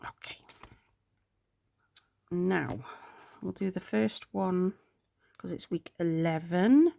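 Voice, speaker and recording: A woman's voice: fairly low pitch, takes it slowly, creaky, mellow. A woman chatting casually while planning, congested and with a sore throat, rambling and sometimes murmuring to herself. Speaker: planner vlogger.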